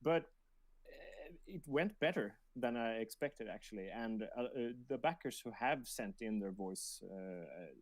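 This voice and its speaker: A man co-hosting a casual livestream, speaking in a halting way and often repeating his words. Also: fairly low pitch, expressive, dry recording. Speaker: livestream co-host reading backer questions.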